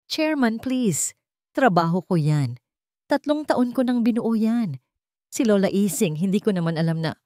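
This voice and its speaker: Woman voicing a desperate, pleading male office boss, raised pitch. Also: lively intonation, a little slow, mellow, dry recording, studio quality, natural voice. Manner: begging, sobbing plea. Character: desperate, pleading fired male HR director, tearful. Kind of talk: dramatic audio storybook acting.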